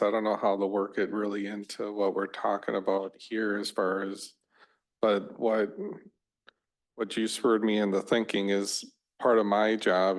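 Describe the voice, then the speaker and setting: A man on the village board thinking aloud in a casual way during a meeting. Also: deep voice. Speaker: board member. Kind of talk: meeting discussion.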